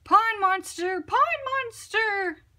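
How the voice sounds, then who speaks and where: A woman voicing an excitable, frightened young male frog from a picture book, with urgent, trembling exclamations. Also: very high-pitched, extremely slow, pitched above the performer's own voice, exaggerated voice. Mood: alarmed.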